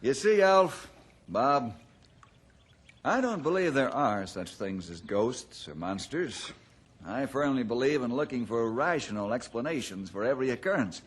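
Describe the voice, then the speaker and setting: Firm skeptical radio ranger, slightly husky. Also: male voice, mid-range voice, big pitch swings. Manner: measured, questioning, folksy. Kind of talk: old radio adventure drama acting.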